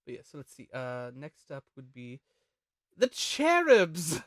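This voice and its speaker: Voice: medium pitch, wildly swooping pitch, measured pace, dry recording. A host leading a casual group chat that ranks songs, joking and swearing freely.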